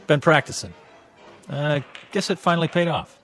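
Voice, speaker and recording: Cocky young hustler, higher-pitched, offhand, self-assured, radio play, music behind. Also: male voice, normal speed, fairly bright tone. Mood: sly, casual. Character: cocky young pool hustler, playing it casual and proud. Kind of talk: radio drama acting.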